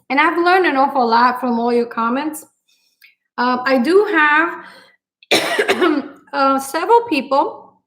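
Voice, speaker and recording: A middle-aged woman from Puerto Rico with a strong Puerto Rican accent, chatting live with her viewers and thanking them, with many pauses and fillers. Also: high voice. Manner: warm-hearted, grateful, frequent fillers and pauses. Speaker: cooking channel host. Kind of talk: live stream chat with viewers.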